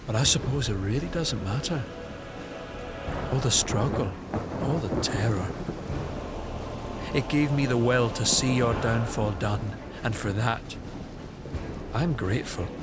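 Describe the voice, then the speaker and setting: A man playing a bitter, scornful young prince bent on vengeance, speaking in a cold, deliberate, mocking way in an audio drama. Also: mid-range voice, over loud music, close to an everyday voice. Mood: cold bitterness. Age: young adult.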